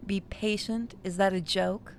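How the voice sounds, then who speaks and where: A woman voicing a calm, possessive female kidnapper in a horror game, dry and quietly threatening. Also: mid-range voice, unhurried, a little hiss or hum, close to an everyday voice. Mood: dry, skeptical.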